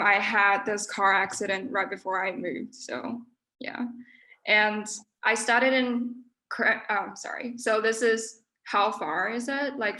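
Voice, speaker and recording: A young woman from Taiwan gives a casual slide talk about her art in a university lecture room. She talks in a mid-range voice, pausing often and restarting her sentences. Her manner is relaxed and unpolished. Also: mid-range voice, poor audio. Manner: hesitant, many fillers and restarts. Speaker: guest artist giving a talk. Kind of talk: informal slide presentation.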